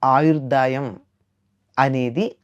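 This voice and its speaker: A woman's voice: extremely low pitch, fairly even intonation, slow, lo-fi sound. An older woman giving a moral discourse in conversation as a spiritual teacher, emphatic and repeating phrases to drive points home.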